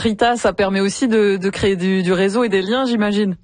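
A man's voice: high voice, fairly even intonation, a little fast, a little mellow, no room sound. Interviewer asking rambling questions, man. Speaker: host. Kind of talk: radio interview.

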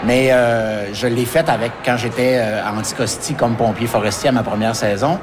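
A man in his fifties with a Québécois accent, a writer and forest firefighter talking in a radio interview, halting with many restarts and fillers. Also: medium pitch, steady tone. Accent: Québécois French. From Quebec.

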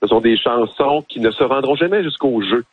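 A man with a Quebec French accent and a husky voice, chatting casually and playfully as a radio host calling in by telephone. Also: mid-range voice, a little fast, dry recording, phone-quality sound. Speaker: radio host, phone-in guest.

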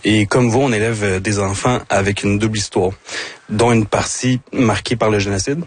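A man from Quebec with a Quebec French accent, politely and carefully asking a personal question in a halting way. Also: fairly low pitch, steady tone, husky, no echo. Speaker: audience questioner, father.

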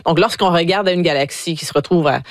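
A woman's voice: lowish voice, fast, dry recording, studio quality. A woman astrophysicist explaining science on a radio show, enthusiastic and explanatory, with some hesitations. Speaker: astrophysicist guest.

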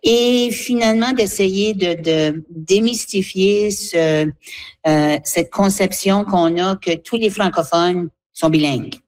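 A woman's voice: mid-range voice, slow, a little mellow. A woman, explaining earnestly as an association representative in a spontaneous interview.

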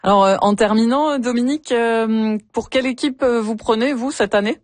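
A woman's voice: fairly high pitch, normal speed, a little mellow, no echo. A woman hosting a radio interview, asking short friendly questions and prompting her guest.

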